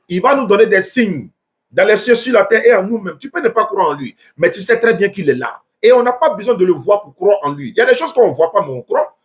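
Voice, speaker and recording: A man preaches earnestly to callers on a live stream. His voice sits high and keeps circling back on itself in stumbling restarts. He sounds like he's picked up on a phone setup.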